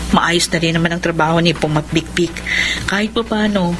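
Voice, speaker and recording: A woman's voice: fairly low pitch, fairly quick, fairly bright tone, slight background noise, close to an everyday voice. A woman acting in a Filipino radio drama as a sentimental younger sister in her late twenties, earnest and affectionate. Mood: apologetic, concerned.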